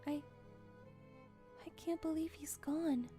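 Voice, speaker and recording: A woman voicing a gentle, earnest young cartoon pony princess who pleads soft-heartedly. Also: high-pitched, slow pace, soft background music. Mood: grieving, upset.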